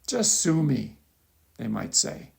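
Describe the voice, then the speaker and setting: A man with a gravelly, creaky voice reads his own article as a measured, explanatory lecture with occasional asides. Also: medium pitch, big pitch swings, a little mellow. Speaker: author reading own article. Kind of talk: scripted lecture-style narration with asides.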